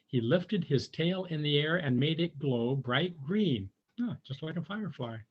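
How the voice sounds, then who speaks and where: Animated, slightly husky man reading to kids. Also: fairly high pitch, unhurried, highs cut off. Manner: conversational, addresses young listeners. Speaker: volunteer storybook reader for young children. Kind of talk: animated children's read-aloud with asides.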